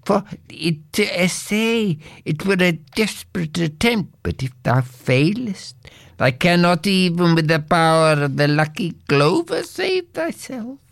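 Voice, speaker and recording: A man doing a doomed old goblin who wails and whispers in archaic speech, swinging widely up and down in pitch. He drags out every word very slowly, close and dry on the mic. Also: lively intonation, no room sound, heavily acted. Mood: whispering. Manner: archaic, solemn, breathy whispering at times. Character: old male goblin, once a mortal, doomed and mournful.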